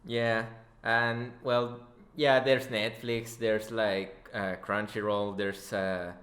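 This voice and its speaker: Bright-voiced man hosting, in an echoey room. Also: fairly low pitch, level tone, slow pace. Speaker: podcast host, interviewer. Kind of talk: casual chat.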